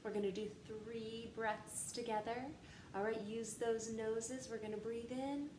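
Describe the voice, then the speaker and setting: A woman in her thirties reading a picture book aloud to children in an expressive, gentle way, seated on a couch at home. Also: on the high side, measured pace. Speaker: storytime reader. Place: living room, seated on a couch. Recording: echoey room with faint hiss.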